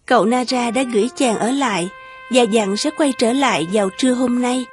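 Casting: woman to play devoted, yearning young lady for animated folktale, high voice, gentle deferential delivery. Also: moderate pace, soft background music, natural voice. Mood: gentle, attentive.

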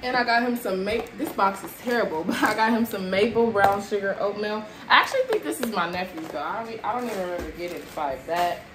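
A woman's voice: mid-range voice, lively intonation, fairly quick, few pauses. A young woman chatting casually to her viewers while unpacking groceries in a small apartment kitchen, filmed by a camera across the room and sounding a bit tired. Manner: chatty, addresses viewers as y'all, moving around while unpacking bags. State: tired and sweaty. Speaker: vlogger showing a grocery haul. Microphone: camera at a distance across the room. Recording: echoey kitchen with background noise.

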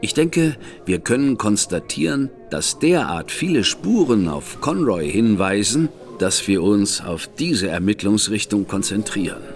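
Shrewd gentleman strategist, lowish voice, very animated, measured and wry, faint music. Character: shrewd, composed older brother, gentleman strategist. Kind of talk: German audio drama acting. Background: faint background score.

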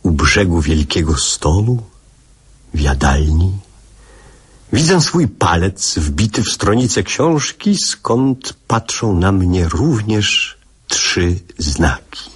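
An actor playing a wistful father narrating his childhood memories in a literary radio play, with a husky voice. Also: sits low, very animated, takes it slowly, exaggerated voice.

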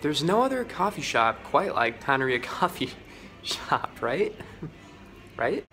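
An American man playing a socially awkward young travel vlogger with a halting, nervous manner. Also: a little high, very animated, pushed and bright, faint music behind, exaggerated voice.

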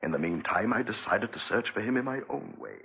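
An actor playing a cruel, obsessive, vengeful wealthy gentleman in a 1940s radio drama, with an imperious, theatrical delivery. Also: lowish voice, lively intonation, brisk, creaky, husky, heavily acted. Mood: brooding narration. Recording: muffled vintage 1940s radio recording.

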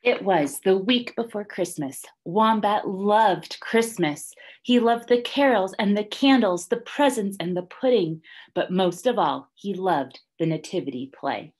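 A young woman reading a children's story aloud like a teacher, deliberately and with dramatic emphasis. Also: mid-range voice, breathy edge. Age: young adult. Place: small classroom, indoors, by a whiteboard.

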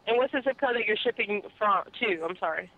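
A woman's voice: medium pitch, animated, brisk. Woman customer service agent on a phone line. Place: on the other end of a phone call. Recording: muffled narrowband phone line.

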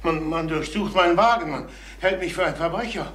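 An actor playing a troubled middle-aged ex-salesman turned burglar in a crime radio drama, halting, confessional and repeating his words. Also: fairly high pitch, heavy background noise, lightly acted. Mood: agitated. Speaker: former travelling salesman.

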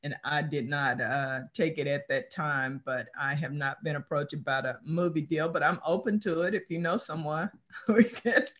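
A woman's voice: low voice, moderate pace. A middle-aged woman from Texas, a guest author, chatting in an easygoing way and laughing often, heard over a webcam from a room at home. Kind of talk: casual interview chat. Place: home room with framed pictures on the wall. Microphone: video call webcam.

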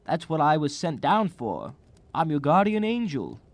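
A man playing a gentle, naive old angel in a radio drama, earnest, quaint and a little prim. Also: a little high, huge pitch swings, normal speed, dry recording. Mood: gently insistent.

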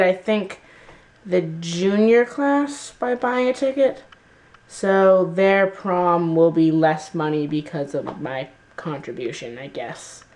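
A teenage boy with a stuffy, nasal voice from a cold, chatting in a rambling, self-deprecating daily vlog to a camera held at arm's length. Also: high-pitched. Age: teens. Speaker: vlogger. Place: rooms of a house and outdoors. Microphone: handheld camera at arm's length.

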